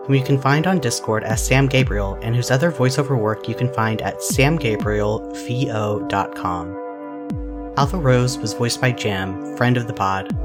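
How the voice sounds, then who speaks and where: A man reading a scripted podcast outro as the announcer. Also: mid-range voice. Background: loud music.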